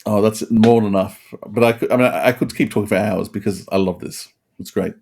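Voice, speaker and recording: A man's voice: on the low side, fairly even intonation, fairly quick, creaky, a little mellow. A man co-hosting a podcast, chatting casually and with enthusiasm. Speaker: podcast co-host. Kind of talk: casual chat.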